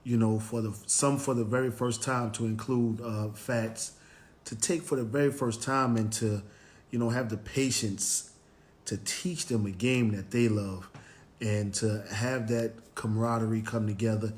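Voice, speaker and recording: A man hosting a motivational Facebook Live show, hoarse and raspy from cheering, greeting viewers by name, with plenty of "um" and "you know". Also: on the low side, steady tone, measured pace. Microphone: live stream, phone or webcam.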